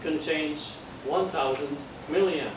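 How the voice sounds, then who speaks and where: A man's voice: on the high side, slow. An older man from the Netherlands with a Dutch accent, teaching an amateur radio class and explaining step by step in a patient way. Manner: plain, patient explaining with jokes and check-ins. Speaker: amateur radio course instructor. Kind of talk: classroom lecture. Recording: echoey room from far off, noisy.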